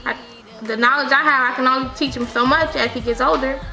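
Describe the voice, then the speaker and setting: A woman's voice: high voice, steady tone, fairly quick, gravelly, sharp tone, roomy sound, soft background music, rough recording. A woman in her twenties talking casually to camera, rambling and correcting herself often. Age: 20s. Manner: rambling, frequent self-corrections and "like" fillers. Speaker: vlogger. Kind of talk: casual opinion monologue.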